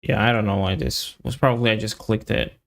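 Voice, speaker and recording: A man thinking aloud as he hosts a live coding stream, muttering in halting, half-finished phrases. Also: lowish voice, steady tone, creaky.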